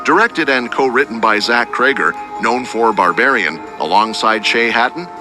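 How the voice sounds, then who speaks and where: Man narrating scripted news over loud music. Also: mid-range voice, moderate pace. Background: prominent music.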